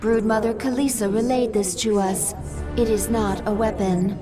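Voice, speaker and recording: An actress voicing a dutiful female alien adviser who reports in a formal, even way. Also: medium pitch, normal speed, music in the background, lightly acted.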